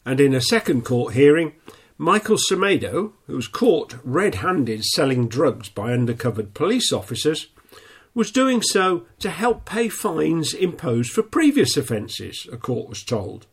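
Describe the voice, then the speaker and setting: A man reading local news in a plain, steady way, a little snuffly with a stuffy nose. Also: on the high side, unhurried, slightly rough. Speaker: newsreader.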